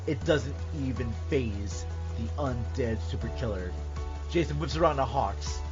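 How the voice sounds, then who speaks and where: A man's voice: mid-range voice, a little slow, music in the background. A man reading a scripted scene aloud as a podcast host, with emphatic, suspenseful delivery. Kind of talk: dramatic script narration and casual chat. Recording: dull lo-fi audio.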